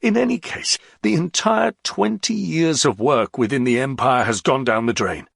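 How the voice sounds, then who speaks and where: A weary nobleman in an audiobook read by a male narrator, bitter yet self-controlled. His voice is husky and creaky, tired and dryly ironic. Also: a little high, sing-song, normal speed, fairly bright tone, dry recording, clean studio sound, pitched above the performer's own voice, heavily acted. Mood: bitter despair.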